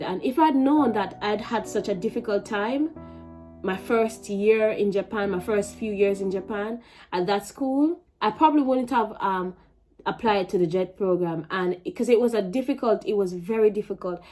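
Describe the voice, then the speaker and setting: A young woman with a Jamaican accent telling a personal story in a vlog, animated and emphatic, with many restarts. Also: mid-range voice, moderate pace, faint music behind. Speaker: vlogger.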